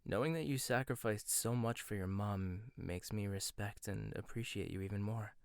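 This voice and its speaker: A man performing a gentle, intimate ASMR roleplay monologue, hesitant at times and teasing at others. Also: fairly low pitch, clear, resonant tone, no echo, studio quality.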